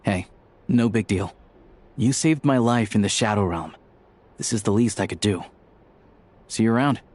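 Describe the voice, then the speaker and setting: An actor playing a dependable, protective, easygoing friend in a video game, speaking plainly and matter-of-factly. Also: male voice, on the low side, normal speed, darkish tone, slight background noise, close to an everyday voice. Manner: matter-of-fact, plain-spoken. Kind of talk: video game character acting.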